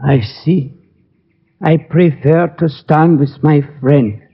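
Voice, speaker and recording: Elderly gunmaker, husky, highish voice, gentle, formal, halting, old radio drama on a narrow tinny recording. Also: male voice, heavily acted. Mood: calmly resigned. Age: older. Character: gentle, dignified old gunmaker, a resigned uncle. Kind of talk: 1940s-50s radio drama acting.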